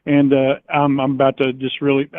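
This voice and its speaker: A man's voice: mid-range voice, completely flat delivery, fairly quick, dry recording. A man calling in to a live stream, hesitant and rambling, stumbling over his words and laughing uneasily. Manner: hesitant, rambling, lots of fillers and restarts. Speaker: caller. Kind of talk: phone-in conversation. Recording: tinny phone line.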